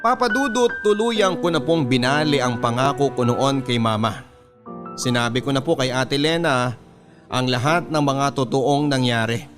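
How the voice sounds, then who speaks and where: Guy reading out a first person story radio style, mid pitch, music playing behind him. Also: normal speed.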